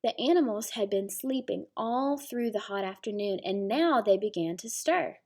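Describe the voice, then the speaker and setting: A woman teacher reading a storybook aloud to young children, pausing to explain things simply. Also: medium pitch, expressive, a little mellow.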